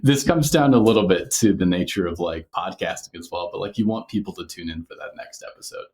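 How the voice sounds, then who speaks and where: A man's voice: deep voice, a little fast, darkish tone. A man speaking as a podcast creator in a casual interview, explaining things thoughtfully and good-humoredly. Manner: chuckles often while explaining thoughtfully.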